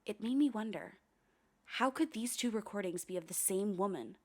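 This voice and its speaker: An actress playing a haunted, wry young woman who narrates her investigation in a confiding, ominous, deliberate way for a radio play. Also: mid-range voice, expressive, a little mellow, natural voice. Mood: reflective, ominous. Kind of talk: dramatic first-person radio play narration.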